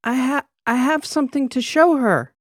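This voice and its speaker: A man voicing a drunken female socialite who slurs and wheedles in a high voice. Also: no echo, clean studio sound. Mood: drunk pleading. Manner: slurred, wheedling, drawn-out words. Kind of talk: audiobook character voice.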